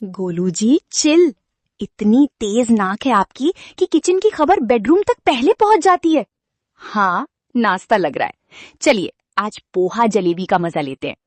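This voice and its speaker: A woman's voice: on the high side, lively intonation, a little slow, no echo. A woman playing a chirpy, cheeky modern young daughter-in-law who vlogs in a cartoon story. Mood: teasing. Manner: playful, cheeky, mixes in English slang. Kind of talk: cartoon vlog-style storytelling.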